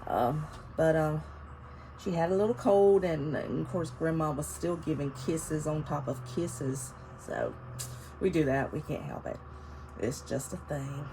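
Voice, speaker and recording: A woman in her fifties talks in a rambling, chatty way, her voice nasal and congested from a cold. Her tone sits slightly low for a woman. Faint noise hums in the background behind her. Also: unhurried, warm tone, low-quality recording. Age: 50s. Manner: rambling and chatty, with frequent laughs. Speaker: planner vlogger. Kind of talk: casual chatty vlog. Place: home, seated in a chair. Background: faint neighbors' construction noise.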